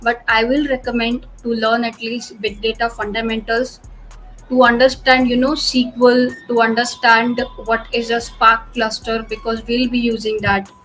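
Young woman, slightly high pitch, patient tech presenter on a laptop mic, filler-heavy delivery. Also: level tone, normal speed, fairly bright tone, soft background music, low-quality recording. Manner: frequent "okay" and "you know" fillers, patient explaining. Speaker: cloud tutorial presenter. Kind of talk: screen-share technical walkthrough.

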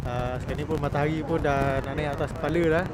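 A man's voice: a little high, steady tone, fast talker. A young man with a Malaysian accent chatting casually as a motorcycle vlogger. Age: 20s. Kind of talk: casual vlog chat. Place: outdoors, car parks and on a motorcycle. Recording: noisy outdoor vlog with traffic and wind.

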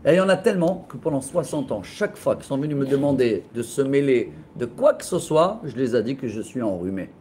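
A man in his forties, a rabbi teaching a lively Torah class in a classroom, telling stories with laughter and gestures into a close webcam. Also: medium pitch, brisk, few pauses, a little hiss or hum. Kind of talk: lively storytelling lesson. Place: classroom with bookshelves, indoors. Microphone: laptop webcam at close range.

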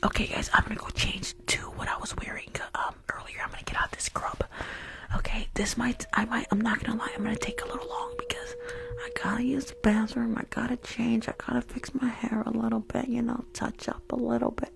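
A woman's voice: a little high, lively intonation, brisk, vocal fry. A young adult woman chatting casually to her live-stream audience as an ASMR streamer, close to the microphone. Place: small bedroom. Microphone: close furry-covered condenser microphone.

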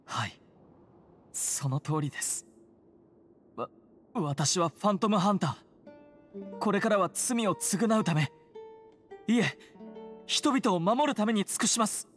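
A woman's voice: mid-range voice, lively intonation, slow, long pauses. A woman voicing an earnest, timid phantom hunter in a Japanese game, hesitant, polite and formally apologetic. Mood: remorseful, resolved. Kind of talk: Japanese anime game voice acting. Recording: music behind the voice. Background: game music.

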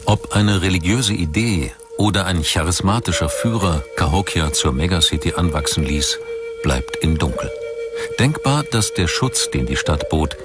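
A man delivering scripted documentary narration in a measured, formal storytelling manner. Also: low voice, expressive. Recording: music bed under voice. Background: background music.

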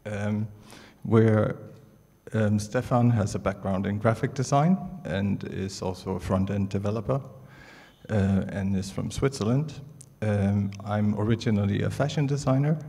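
Conference speaker: Danish man, middle-aged, mellow and gravelly, halting delivery into a handheld mic in a reverberant auditorium. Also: on the low side. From Denmark. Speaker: artist presenting at a conference. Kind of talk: prepared stage presentation. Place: large indoor theatre stage. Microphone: handheld microphone.

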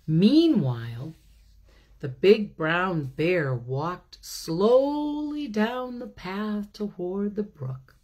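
Older female storyteller for children's picture books, very unhurried, chatty, question-asking delivery. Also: medium pitch, lively intonation, a little mellow. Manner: slow, asks listeners questions. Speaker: pastor hosting children's story time. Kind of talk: animated children's storybook reading with chatty asides. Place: small room at home, indoors. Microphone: phone or webcam at arm's length.

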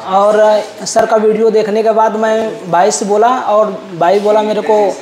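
Even-toned young man in an echoey room. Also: high-pitched, unhurried. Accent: eastern Uttar Pradesh. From eastern Uttar Pradesh, India. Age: young adult. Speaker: technical trainee. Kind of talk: student testimonial, answering an interviewer. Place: appliance workshop indoors. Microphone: clip-on lapel mic.

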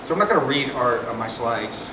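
A man's voice: medium pitch, lively intonation, a little fast. Man lecturing in an echoey hall. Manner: conversational, joking, frequent fillers and restarts. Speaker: psychiatrist presenting at a symposium. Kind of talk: conference talk. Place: conference room with projector screen. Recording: echoey room, distant mic, air conditioning hum.

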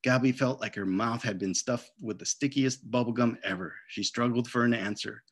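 Male children's author, middle-aged, mid-pitched, expressive storybook delivery and casual talk on video call. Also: moderate pace, husky. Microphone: video call microphone.

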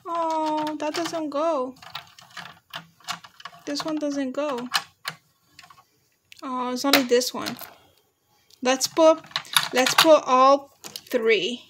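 A young woman filming at home and chatting with a child over a toy, with excited, sing-song exclamations. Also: sits high, very slow, pauses often. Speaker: parent filming a toy demo. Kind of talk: playful commentary with a child. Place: living room at home. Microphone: handheld phone camera. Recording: noisy home phone video.

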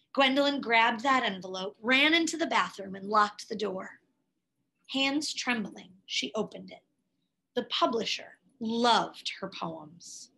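Lady doing a storybook read aloud, kinda high voice, really unhurried, expressive w dramatic pauses. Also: gravelly, breathy edge, low-quality recording. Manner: measured, dramatic pauses and emphasis.

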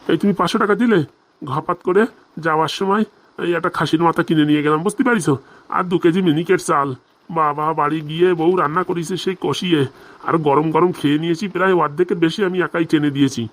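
Actor as old village priest, cartoon comedy, fast rambling delivery, bumbling, excuse-making, middling pitch. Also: steady tone, close to an everyday voice. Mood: sheepish, rambling. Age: older. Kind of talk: comic cartoon dialogue in rural Bengali.

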